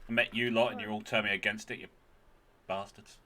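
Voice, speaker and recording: A man's voice: lowish voice, flat delivery, fairly quick, background noise. A man chatting casually as a player in a tabletop game.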